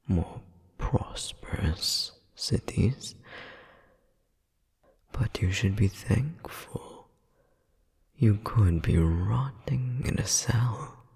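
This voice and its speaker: A man performs a condescending royal flame demon in an audio drama, his voice very low and full of gravel. He speaks with haughty, measured formality, breathy and cold, in a long, reverberant hall. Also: darkish tone, heavily acted. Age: adult, centuries-old demon. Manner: formal, haughty, slow and deliberate. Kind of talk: dramatic audio roleplay monologue.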